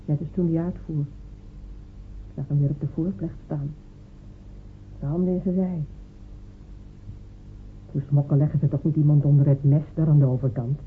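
An actress playing a sharp-tongued female village cobbler and loyal wife, who talks in a dry, teasing, stubborn way. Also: low-pitched, fairly even intonation, normal speed, pauses often, slight background noise, natural voice. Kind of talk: radio drama acting. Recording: phone-like old radio recording.

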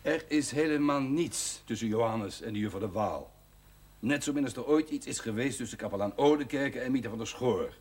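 A man's voice: mid-range voice, normal speed, natural voice. An actor playing a blunt, outspoken village doctor who lectures his patients, speaking Dutch with a hard northern G in an old TV drama soundtrack. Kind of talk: 1970s TV drama acting. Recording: 1970s TV soundtrack with faint hiss.

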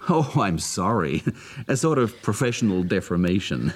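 A man's voice: deep voice, big pitch swings, moderate pace, breathy edge, exaggerated voice. An actor playing a cynical male corporate spy, mocking in tone and slipping words into his talk. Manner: mocking, mixes English phrases into German. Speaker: industrial spy. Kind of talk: radio drama acting.

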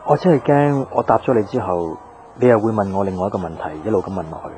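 A man's voice: on the low side, expressive, quick pace, warm tone, a little hiss or hum, natural voice. An actor playing a weary, guarded young man in a Cantonese radio drama, speaking in a subdued, reflective way. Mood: earnest, guarded.

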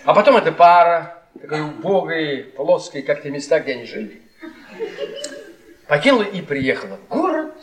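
An actor playing a bitter middle-aged husband who tells cruel, witty stories with theatrical mockery in a Russian radio drama. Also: male voice, a little high, big pitch swings, normal speed. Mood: mocking storytelling. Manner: theatrical, sardonic, taunting. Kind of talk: Russian stage-style radio drama acting. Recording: echoey theatre, distant mic, audience noise.

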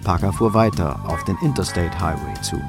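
A man's voice: low-pitched, brisk. A man narrating a hard-boiled radio crime drama in a sober, matter-of-fact way. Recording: loud guitar music under voice.

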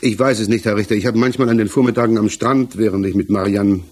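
A man's voice: on the low side, steady tone, darkish tone, slightly rough. An actor playing a defensive, hesitant married village tradesman under questioning in a 1960s German radio play, searching for his words. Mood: hesitant, reflective. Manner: halting, searching for words, evasive.

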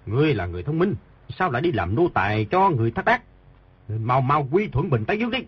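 Woman voicing a stubborn loyal male guard, deep, very husky and fast; dull lo-fi audio. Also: sing-song, exaggerated voice. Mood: defiant.

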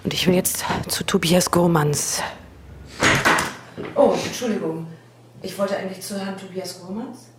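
A woman's voice: fairly low pitch, a little slow, pauses often, natural voice. A woman playing an assertive, wry test participant in a naturalistic radio drama. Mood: matter-of-fact. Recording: roomy sound, faint noise.

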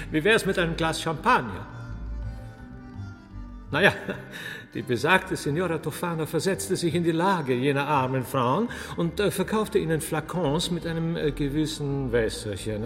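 Gossipy Austrian courtier, creaky voice. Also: male voice, on the high side. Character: gossiping, courtly sardonic male master of ceremonies. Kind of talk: radio play acting. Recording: long hall reverb, music underneath.

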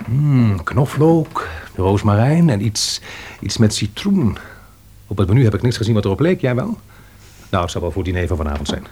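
Genial, animated man, noisy recording. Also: on the low side, normal speed. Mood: savouring, teasing. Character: genial, appreciative man, an author visiting a publisher. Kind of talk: Dutch radio play acting.

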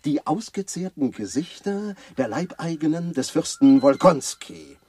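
Eitler, heiserer Romanautor, etwas hohe Stimme. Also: male voice, fairly high pitch, lively intonation, measured pace, darkish tone, breathy edge. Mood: declaiming proudly. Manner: pompous, theatrical, swinging from muttering to declaiming. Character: vain, irritable, self-important male novelist. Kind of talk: German radio play acting.

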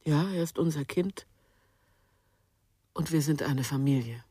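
A cold mother in middle age, her voice low and dark. She is guarded and evasive, speaking curtly in a closely miked radio drama. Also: a little slow, husky, no echo, studio quality. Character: cold defensive mother of a grown daughter. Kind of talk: German radio crime drama acting.

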